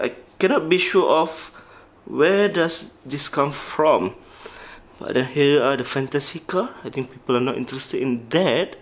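A man casually walking through his diecast car collection, talking haltingly and listing models one by one. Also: a little high. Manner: halting, with restarts and listed names. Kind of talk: casual toy collection walkthrough.

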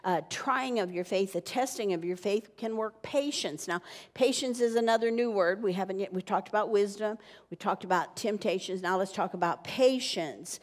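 An older woman pastor preaching a sermon, animated and teaching, with wide gestures on a church stage. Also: mid-range voice, normal speed. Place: church stage with wood-panel wall. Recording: long reverb from church hall.

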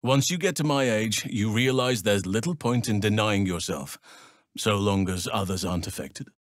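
A man performs an ancient male elf druid from a fantasy video game. His voice sits low and is recorded close and dry. He speaks formally and calmly, with an earnest, solemn love of nature. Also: no room sound, natural voice. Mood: warm, reflective. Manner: measured, formal, solemn phrasing. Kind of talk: video game character dialogue.

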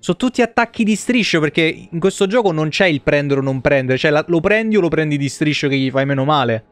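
Male game streamer, thirties, slightly high voice, casual and colloquial, game music underneath. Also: animated, fast talker, no room sound. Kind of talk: casual live gameplay commentary. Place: small indoor room at a desk.

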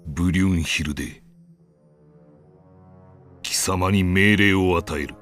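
An actor playing a cold, domineering ruler in a game cutscene, with lordly archaic phrasing. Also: male voice, deep voice, takes it slowly, a bit bright, soft background music. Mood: imperious. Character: imperious cold male ruler commanding a spirit. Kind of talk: video game cutscene acting.

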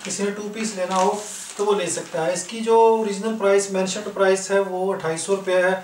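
A man's voice: high voice, fairly even intonation, brisk. A man with a Pakistani accent giving an informal, explanatory product demonstration as a shop seller. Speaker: shop seller, video host. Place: indoor room, goods spread on floor. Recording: roomy, distant mic, faint noise.

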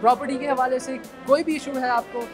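A young man hosts from a busy indoor expo hall, his speech polite and measured. Music plays clearly behind him. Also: very high-pitched, level tone. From Pakistan. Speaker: host. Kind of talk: event presenting and interviewing.

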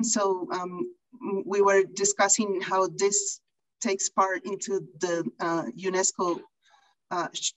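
A woman in her thirties hosts an online seminar over a video call. She speaks at a middling pitch and pauses often with fillers as she introduces a guest. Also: rough recording. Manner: hesitant, many fillers. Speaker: seminar host. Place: indoor room with bookshelves, video call.

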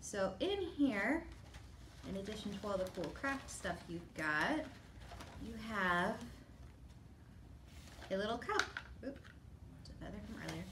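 Middle aged librarian lady explaining a kit to kids, normal pitch, echoey room. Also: big pitch swings, a little slow, long pauses. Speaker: librarian presenting an activity kit. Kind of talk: casual instructional talk to children. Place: children's library room, indoors. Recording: echoey room with faint background noise.

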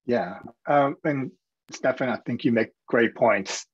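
Husky guy running a panel, lo-fi call audio. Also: medium pitch, slow pace, dry recording. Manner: affirming, steering the talk. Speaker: moderator. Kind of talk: webinar panel discussion. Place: room indoors. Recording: dull lo-fi video call audio.

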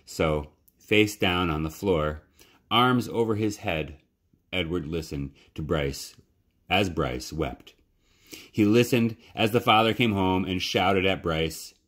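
A man reading a children's novel aloud as a library storyteller, with measured, dramatic pauses. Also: sits low, a bit bright. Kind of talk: expressive children's audiobook-style narration.

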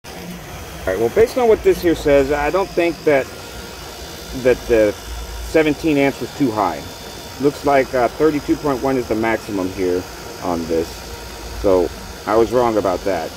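A man's voice: medium pitch, a little slow, pauses often. A man talks viewers through a job in an easygoing, plain-spoken way. He is recorded outdoors on a rooftop on a phone held close. Heavy compressor and traffic noise fills the background. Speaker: refrigeration service technician. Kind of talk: casual on-the-job walkthrough. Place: outdoors on a commercial rooftop by running equipment. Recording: loud machinery and traffic noise. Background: loud compressor and fan hum.

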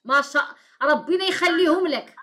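A woman in her thirties with a Moroccan Arabic accent, hosting a live call-in show from a small room, briskly repeating her caller's answers back. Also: high-pitched, bright, forceful tone. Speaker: host taking calls.

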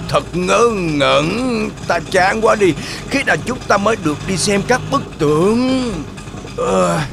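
Whiny gravelly cartoon wolf. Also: male voice, sits high, animated, full character voice. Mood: sulky. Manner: whiny, grumbling, drawn-out muttering. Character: greedy, grumbling, scheming male wolf in a children's fairy tale.

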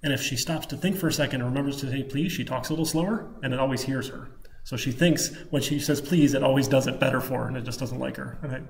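A man's voice: mid-range voice, quick pace, gravelly. A man with a Michigan Midwestern accent giving an informal live coding lecture, rambling casually and thinking aloud. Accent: Michigan Midwestern American. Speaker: programming lecturer. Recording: clicking keyboard, faint room noise. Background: keyboard typing.

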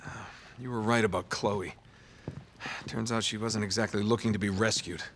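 An actor playing a weary, wisecracking American adventurer in a video game, casual and sardonic in manner. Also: male voice, on the low side, steady tone, normal speed, a bit bright, a little hiss or hum. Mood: tired, subdued. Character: weary, wisecracking adventurer man, reluctant and disillusioned. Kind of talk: naturalistic video game cutscene acting. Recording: background music under the dialogue.

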